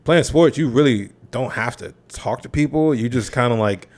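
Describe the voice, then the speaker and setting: A man's voice: medium pitch, normal speed, darkish tone. A young man, a guest actor, talking casually and reflectively about his past. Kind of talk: casual chat.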